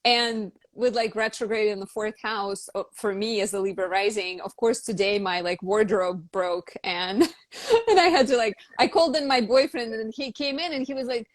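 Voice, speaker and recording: A woman's voice: on the high side, moderate pace, no echo. A woman hosting a casual live chat about astrology, talking easily with lots of filler words. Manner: chatty, frequent "like" fillers. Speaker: astrologer and channel host.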